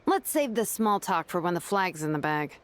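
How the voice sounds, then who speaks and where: A woman's voice: fairly low pitch, very animated, normal speed, a little hiss or hum. A woman playing a laid-back, self-assured young warrior in a video game, easygoing in manner.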